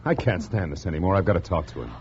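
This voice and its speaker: A man's voice: deep voice, expressive, rapid-fire. A man playing an impatient, lovesick secret lover, sulky and insistent, in 1950s radio drama style. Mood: impatient, upset. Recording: muffled, noisy vintage radio recording.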